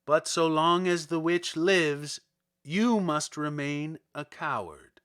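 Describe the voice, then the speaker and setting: Man voicing a slow, imperious fiery wizard. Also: fairly high pitch, a bit bright, smooth. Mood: solemn, imperious. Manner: slow, solemn, commanding. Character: great and terrible wizard as fireball.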